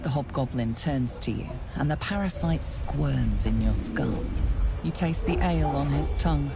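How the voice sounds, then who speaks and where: A woman narrating a dark fantasy video game in a grave, measured, ominous storytelling manner. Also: a bass voice, animated, vocal fry, loud music behind the voice, full character voice. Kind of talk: scripted second-person game narration.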